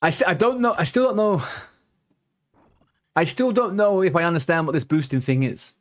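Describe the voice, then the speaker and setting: A man's voice: high voice, moderate pace. A man in his thirties with a mild, worn-down London accent. He rambles in a loose, chatty way, answering viewers as a game streamer. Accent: softened London. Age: 30s. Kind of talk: casual live-stream chat.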